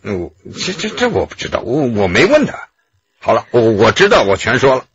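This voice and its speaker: An actor playing a glib, slippery art dealer under questioning, husky, wordy and wheedling, in a radio drama. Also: male voice, mid-range voice, huge pitch swings, normal speed, a bit bright, muffled recording. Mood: evasive, flustered. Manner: wordy, wheedling, self-justifying. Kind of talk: Chinese radio drama acting.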